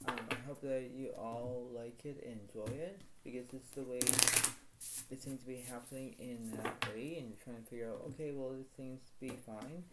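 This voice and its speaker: A man giving a casual tarot reading to his viewers. He speaks quietly and rambles, circling back to the same stock phrases. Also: steady tone, moderate pace, very noisy. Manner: talks quietly, apologizes for his low voice. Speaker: tarot card reader.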